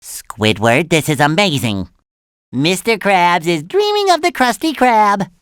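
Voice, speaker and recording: A man voicing an excitable, naive, cheerful young cartoon sea sponge in a video game, with a squeaky nasal voice and eager, childlike earnestness. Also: a little slow, smooth, no room sound, clean studio sound, heavily acted. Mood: amazed. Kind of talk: animated video game voice lines.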